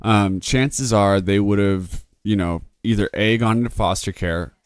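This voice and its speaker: A man in his twenties with a lowish, smooth voice, hosting a podcast. He rambles casually, swears freely and laughs often. The recording is close and dry. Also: a little slow, no echo. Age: 20s. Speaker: podcast host. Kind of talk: casual chat.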